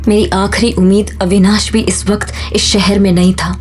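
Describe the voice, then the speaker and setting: A woman's voice: medium pitch, little pitch movement, normal speed, darkish tone, faint music behind, natural voice. A woman playing a defiant young mother who tells and acts her own story in an emotional audio drama style. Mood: grave narration. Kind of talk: first-person audio drama narration and acting.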